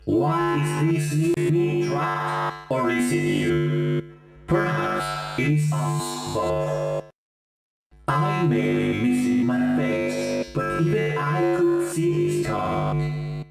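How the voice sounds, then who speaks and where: A woman's voice: sits low, big pitch swings, drawn-out words, vocal fry, exaggerated voice. A woman voicing a brooding, doom-laden broken animatronic in a horror game. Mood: ominous, mocking. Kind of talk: horror game character lines. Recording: roomy game audio under loud music.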